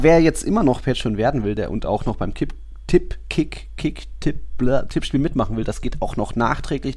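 A man's voice: mid-range voice, darkish tone. A man chatting casually as a sports podcast co-host, with a conversational, lightly teasing way of talking.

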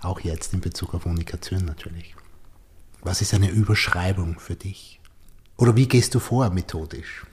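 Male podcast host, low dark husky voice, circles around his questions. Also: moderate pace. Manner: asks rambling, reformulated questions with many restarts. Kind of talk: interview conversation.

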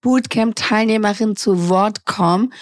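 A woman hosting a podcast, hoarse and congested from a cold, keeping her intro short. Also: medium pitch, moderate pace, no room sound, studio quality. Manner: brief and apologetic. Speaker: podcast host.

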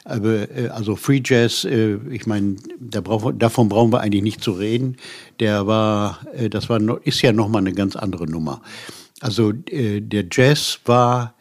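An older man from Germany reminiscing as an author in an interview, halting and reflective, often rephrasing mid-sentence. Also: lowish voice. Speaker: radio play author being interviewed.

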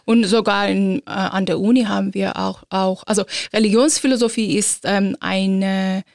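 Woman speaking german, lots of uh and um, kinda gravelly, dry mic. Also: medium pitch, steady tone, a little mellow, no room sound. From Iran. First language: Azeri. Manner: thoughtful, halting with many fillers. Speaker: guest, philosopher of religion. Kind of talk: interview answers.

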